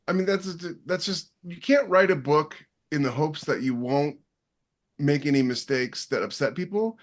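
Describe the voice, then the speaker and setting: A man's voice: fairly high pitch, normal speed. A man in a casual podcast discussion, making his points emphatically and explaining through anecdote.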